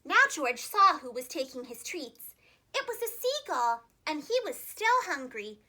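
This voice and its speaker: Female children's storyteller, preschool-teacher manner, extremely high register, lively intonation, clearly enunciated. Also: measured pace. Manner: animated, expressive, carefully enunciated.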